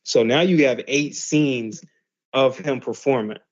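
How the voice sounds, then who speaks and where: A man's voice: mid-range voice, measured pace, muffled recording. A man teaching a class in a loose, conversational way, full of fillers and restarts. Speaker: class instructor. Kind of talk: casual explanatory lesson.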